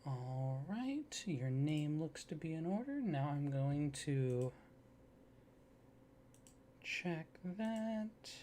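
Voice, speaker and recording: A soft-spoken man doing an ASMR roleplay, playing a polite office clerk who talks the listener through a form. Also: fairly high pitch, very animated, extremely slow, long pauses, slight background noise. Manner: soft-spoken, polite customer-service patter.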